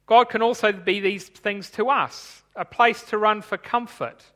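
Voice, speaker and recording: A man who grew up in Tanzania, preaching a sermon in a measured, explanatory way while reading from his notes. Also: sits high, sharp tone, long reverb tail.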